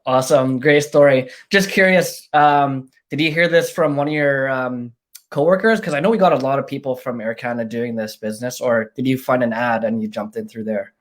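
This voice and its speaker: A man's voice: mid-range voice, fairly quick. A man hosting a casual interview, upbeat and encouraging, with many fillers. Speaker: interview host.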